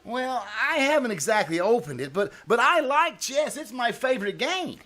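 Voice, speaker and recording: A man's voice: extremely high pitch, very animated, normal speed, bright, forceful tone, full character voice. A man playing a timid, earnest schoolteacher in an old-time radio comedy, speaking politely and hesitantly with stammers. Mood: puzzled, mild. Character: timid, earnest, deferential male schoolteacher, easily rattled. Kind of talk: old-time radio comedy acting before a live audience. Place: small-town theater stage. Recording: live stage recording with noise.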